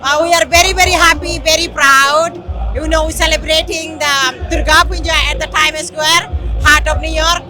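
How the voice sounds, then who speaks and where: A woman from Bangladesh answering a street interview at a crowded outdoor festival, halting and repeating words. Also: high-pitched, fairly even intonation. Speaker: festival attendee. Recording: loud crowd and music outdoors.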